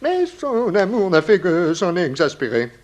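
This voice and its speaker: Excitable, high-pitched, theatrical gossip. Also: male voice, measured pace. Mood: confiding, dramatic. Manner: theatrical, hesitant repetitions, florid speech. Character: effusive, tearful, gossipy male boarding-house lodger. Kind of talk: 1960s French radio play acting.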